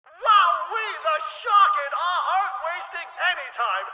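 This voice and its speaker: An excitable, teasing arena announcer for a video game, pitched extremely high. The delivery is slow, showy and theatrical, full of playful exclamation. It rings out through a tinny PA with long reverb. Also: male voice. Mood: excited, teasing. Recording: tinny band-limited PA, long echoing reverb.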